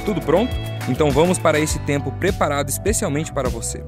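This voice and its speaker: An announcer reading a brisk scripted church announcement. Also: mid-range voice, lively intonation. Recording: voice-over with music underneath.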